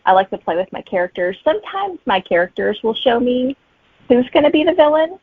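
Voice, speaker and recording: Husky woman on a thin phone-like connection. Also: fairly high pitch, very animated, a little fast, dry recording. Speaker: interview guest, author. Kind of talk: casual interview chat.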